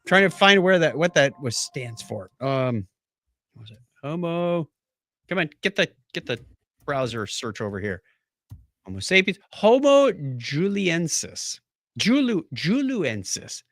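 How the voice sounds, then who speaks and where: A man's voice: a little high, very animated, unhurried, a bit bright, dry recording, studio quality. A man hosting a podcast in a casual, jokey, conversational way. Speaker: podcast host.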